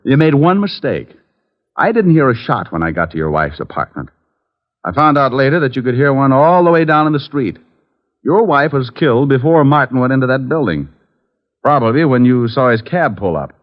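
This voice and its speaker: A man's voice: mid-range voice, big pitch swings, moderate pace, lightly acted. Wry private eye on muffled old radio played by a man. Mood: probing. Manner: dry, glib, wisecracking. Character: wry, wisecracking private detective, adult man. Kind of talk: 1950s radio acting with hard-boiled narration.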